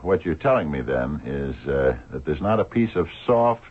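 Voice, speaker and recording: An actor playing a shrewd, dogged blind investigator in a radio drama, gravelly and husky, probing with hesitant, murmured questions. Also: male voice, a bass voice, big pitch swings, normal speed, heavily acted. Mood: musing, intent. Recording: thin, phone-like old radio recording.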